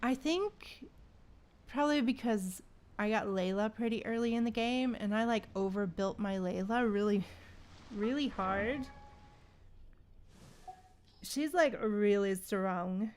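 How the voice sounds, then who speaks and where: A woman livestreamer chatting casually in a slangy, animated way while gaming. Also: mid-range voice, takes it slowly, pauses often. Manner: chatty, animated retellings with frequent slangy exclamations.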